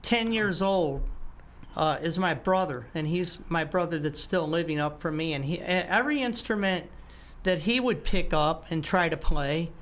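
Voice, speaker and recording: An older man, a guest musician, telling his story in a halting, casual way with many restarts and some throat clearing. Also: a little high, fairly bright tone. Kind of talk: casual interview talk.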